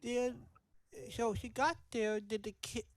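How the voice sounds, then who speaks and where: A man's voice: extremely high pitch, fairly even intonation, slow pace. A man asking informal interview questions, stumbling and rewording them as he goes.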